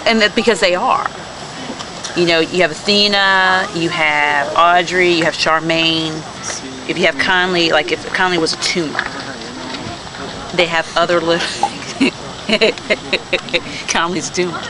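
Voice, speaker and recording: A woman's voice: fairly low pitch, steady tone, unhurried, pauses often. A woman speaking casually as an author explaining characters in an interview. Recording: amateur video, noisy background.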